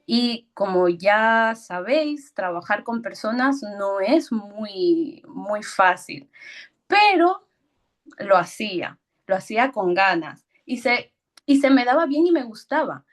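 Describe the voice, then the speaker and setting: A woman in her thirties from Ecuador tells her work story as a guest speaker, hesitant and a little nervous, with fillers and soft laughs. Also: mid-range voice, sing-song, slow pace. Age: 30s. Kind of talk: personal story talk.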